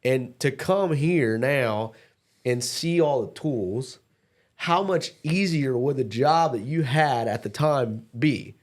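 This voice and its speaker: A man's voice: medium pitch, lively intonation, slow. A man speaking as an interviewer in a casual, encouraging conversational style.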